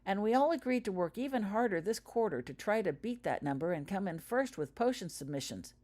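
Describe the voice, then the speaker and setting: A woman's voice: medium pitch, animated, a little fast, a little mellow, pitched above the performer's own voice, natural voice. Woman reading as bossy-organised schoolgirl in a storybook, earnest team leader, businesslike and rallying. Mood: concerned. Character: earnest, organised schoolgirl leading her study group.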